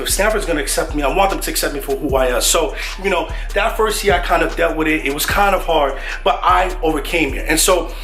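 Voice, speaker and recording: A man's voice: on the high side, fairly bright tone. A young man with a New York accent giving casual, streetwise motivational advice as a channel host. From New York City. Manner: casual, streetwise, says "right?" often. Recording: echoey room with faint music.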